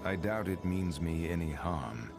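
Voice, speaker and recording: A grave, determined adventurer in a fantasy video game. His extremely deep voice moves slowly and seriously, with music clearly behind it. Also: animated, full character voice. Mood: grave, resolute.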